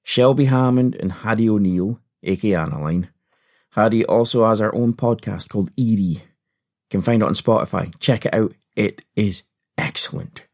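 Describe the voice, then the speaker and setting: A man's voice: on the low side, normal speed, a little mellow. A Scottish man hosting a story podcast, narrating in the first person in a folksy, confiding way.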